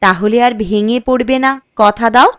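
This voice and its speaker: A woman's voice: a little high, moderate pace, dry recording, natural voice. A woman voicing a steadfast, supportive young wife in a cartoon story, with an earnest, reassuring manner. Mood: devoted. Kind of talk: Bengali cartoon story dialogue.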